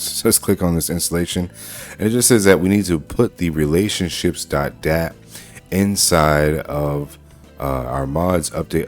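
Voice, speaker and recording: A man hosting a casual software walkthrough, talking informally through each step as he goes. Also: rumbling low. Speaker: tutorial host.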